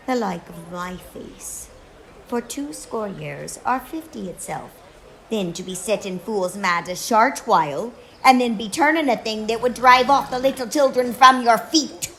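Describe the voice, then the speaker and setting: An actress playing a proud, sharp-tongued middle-aged Irish beggar woman in a radio play, biting and deliberate. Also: a little high, creaky, a little hiss or hum, heavily acted. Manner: biting, deliberate dialect speech. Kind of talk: theatrical radio play acting.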